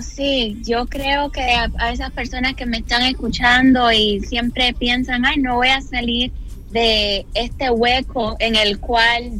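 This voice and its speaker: A woman from Cuba speaks as a guest on a radio show, earnest and devotional as she talks about her calling. Her voice is bright and fairly high. She joins over a remote call connection. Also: poor audio.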